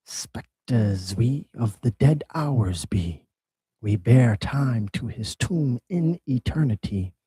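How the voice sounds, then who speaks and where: Guy reading ghostly dead hours chorus, raspy and slow, funeral chant vibe. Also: medium pitch, mellow, no echo, exaggerated voice. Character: chorus of dark hours bearing time's bier.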